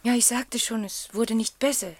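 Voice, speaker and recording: Actress as husky, thoughtful confiding woman. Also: fairly high pitch, steady tone, moderate pace, dry recording, natural voice. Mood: confiding, wistful. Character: thoughtful, reserved woman confiding a troubled marriage, gently sceptical. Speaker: radio drama actor. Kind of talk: German radio play dialogue.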